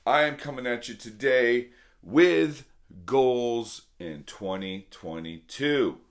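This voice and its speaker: A middle-aged man with a slightly hoarse voice, talking earnestly and casually to his viewers as a vlog host, repeating points for emphasis. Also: medium pitch. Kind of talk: casual motivational talk to camera.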